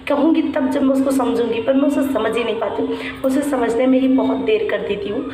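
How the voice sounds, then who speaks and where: A young woman vlogger, her voice worn by a sore throat, talking softly and haltingly to her viewers from home. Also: high voice. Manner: soft, halting, reflective; frequent restarts with "matlab". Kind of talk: personal vlog talking to viewers. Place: room at home. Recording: echoey room, mic at a distance, faint hum.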